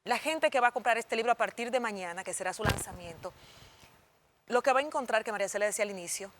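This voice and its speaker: A woman's voice: mid-range voice, fast, noisy background. A woman co-hosting a TV talk show, asking long questions that frame the topic for the guest. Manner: engaged, asks long framing questions.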